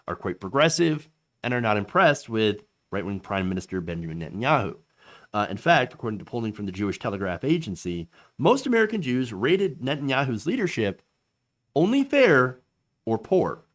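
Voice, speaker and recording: A man gives casual political commentary on his own show. His pitch moves around a lot, and he keeps stopping on fillers and restarting his sentences. He sets his views apart from the quotes he reads. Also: a bit bright.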